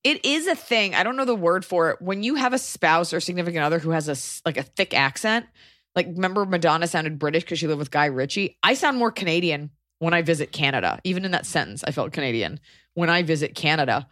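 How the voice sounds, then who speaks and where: A woman co-hosting a chatty podcast, handing out blunt, sarcastic verdicts. Her voice sits a little low. The sound is dry and close, with no room around it. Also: lively intonation, a bit bright, dry recording. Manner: blunt, sarcastic, quick opinions. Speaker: podcast co-host. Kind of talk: casual podcast chat.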